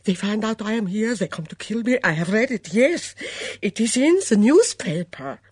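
A woman voicing an excitable young foreign housemaid who speaks loud, theatrical, broken English. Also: mid-range voice, moderate pace, slightly rough, no room sound, clean studio sound, voice pushed up. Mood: alarmed.

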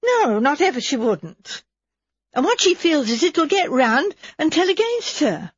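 A woman's voice: high-pitched, very animated, unhurried, no room sound, lo-fi sound, pitched above the performer's own voice. A woman voicing an anxious young housemaid who rambles and pleads breathlessly.

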